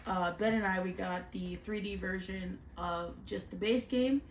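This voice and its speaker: A woman's voice: mid-range voice, fairly even intonation, a little slow, slight background noise. A Canadian woman co-hosting a board game review show. She chats casually and candidly and leans on "like" as a filler. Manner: chatty, candid, lots of "like" fillers. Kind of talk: casual chat. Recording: roomy echo.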